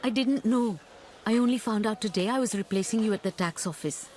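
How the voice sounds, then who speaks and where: A woman's voice: fairly high pitch, brisk, darkish tone, natural voice. A woman playing an earnest, lonely, world-weary and self-sacrificing woman in a radio drama, with formal, pleading phrasing. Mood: resolute, weary.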